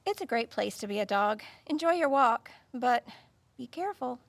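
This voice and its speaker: Dog handler, female, heavy vocal fry, high-pitched, clipped, matter-of-fact, no-nonsense, audio drama. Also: lively intonation, moderate pace, no echo. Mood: cautionary. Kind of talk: naturalistic audio drama acting.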